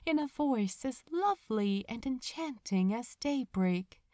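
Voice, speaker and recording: A woman reading a romantic story aloud as a narrator, with a dramatic, tender and measured delivery. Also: a little high, expressive, darkish tone, no echo, clean studio sound. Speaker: fan fiction audiobook narrator. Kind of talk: expressive scripted story narration.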